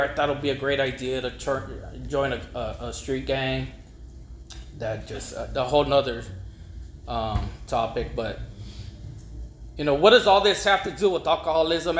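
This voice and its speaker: A middle-aged man gives an informal personal testimony, rambling and haltingly repeating words. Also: medium pitch. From East Los Angeles, United States. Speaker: speaker at a recovery meeting. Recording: echoey room with faint noise.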